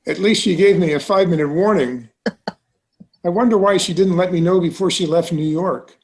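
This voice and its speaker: A genial banker uncle, acted by a man with a fairly high voice. He speaks carefully, as a cautious trustee would, in the scripted dialogue of a musical table read. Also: normal speed, a little mellow. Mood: measured, avuncular. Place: recorded online.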